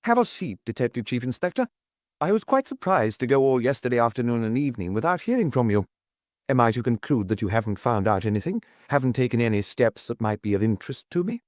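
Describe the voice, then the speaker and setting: A man's voice: medium pitch, very animated, quick pace, dry recording, studio quality, close to an everyday voice. A man voicing a young, formal, probing examining magistrate in an audiobook.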